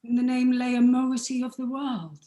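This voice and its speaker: A commanding, stately ruler played by a woman in a Shakespearean radio play. The voice is fairly high, and the sound is noticeably roomy and echoing. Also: steady tone, normal speed, warm tone, close to an everyday voice. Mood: stern, stunned. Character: Octavius Caesar, commanding male ruler, shaken.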